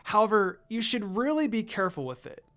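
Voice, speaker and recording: A narrator voicing a composed, kindly young ninja girl in a story, with a calm and gently instructive manner. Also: mid-range voice, fairly quick, pitched above the performer's own voice.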